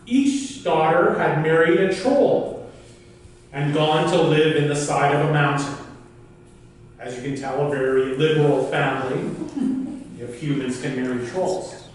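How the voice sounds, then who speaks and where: A man telling a folk tale as a live speech, with deliberate, theatrical pauses and emphasis. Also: fairly high pitch. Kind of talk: animated live storytelling speech. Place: indoor club meeting room. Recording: very echoey room, distant mic, faint noise.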